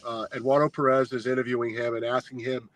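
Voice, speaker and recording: A man as a podcast guest, telling a long fan story in a casual, animated, rambling way with lots of filler words. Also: mid-range voice, fast, dry recording, poor audio. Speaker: guest, fan sign maker.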